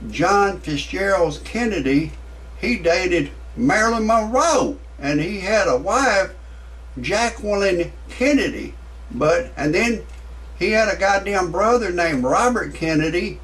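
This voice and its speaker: A cussing, blustering man in his sixties with a drawl, delivering a comic rant. His voice sits high for a man. He sounds some distance from the mic. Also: a bit bright. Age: 60s. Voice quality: profane, blustering. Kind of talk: ranting stand-up monologue.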